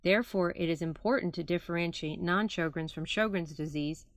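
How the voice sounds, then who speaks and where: Female optometrist, lowish voice in a dry recording, formally explaining from a scripted article. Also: fairly quick, no echo. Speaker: optometrist reading own article. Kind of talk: scripted narration of a clinical article.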